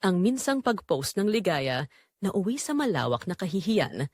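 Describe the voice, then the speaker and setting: A Filipino woman narrates a scripted drama in an expressive, measured way. Her pitch moves in lively swings, and the recording is dry and close, with no room sound. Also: breathy edge, dry recording.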